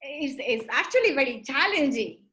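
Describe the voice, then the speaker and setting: A woman's voice: high voice, very animated, moderate pace, a bit bright. A woman hosting a casual interview, encouraging her guest and recapping answers in a conversational way. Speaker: interviewer, host.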